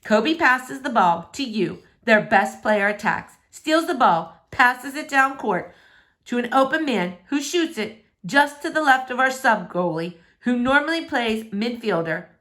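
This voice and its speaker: A woman reading a verse novel aloud as an expressive storyteller. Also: fairly high pitch, unhurried. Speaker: book reader.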